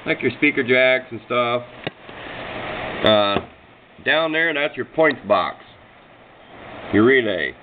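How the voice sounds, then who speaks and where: A man's voice: mid-range voice, animated, slow, pauses often. Folksy American man, tinny noisy recording. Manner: plain-spoken, rambling, folksy and self-deprecating. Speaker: hobbyist radio demonstrator. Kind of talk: casual unscripted show-and-tell narration. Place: indoors at a workbench. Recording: tinny phone-like sound with clear background noise.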